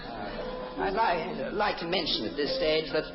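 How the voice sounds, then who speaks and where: A man's voice: on the high side, very animated, unhurried, music in the background. A man playing a polite, fussy announcer in an old radio comedy, hesitant and genteel in his bumbling way. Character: polite, fussy male announcer interrupting the show. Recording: dull old radio recording.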